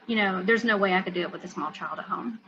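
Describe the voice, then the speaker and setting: A woman's voice: medium pitch, fast, pushed and bright, dull audio, slight background noise. A woman in her forties from West Texas with a West Texas accent, chatting as an author guest with many fillers and restarts. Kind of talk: casual interview chat.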